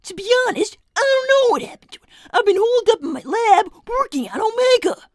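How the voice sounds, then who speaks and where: A woman's voice: very high voice, very animated, brisk, no room sound, studio quality. A woman voicing an exasperated young fox inventor in a casual, argumentative improvised livestream roleplay. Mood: defensive, exasperated.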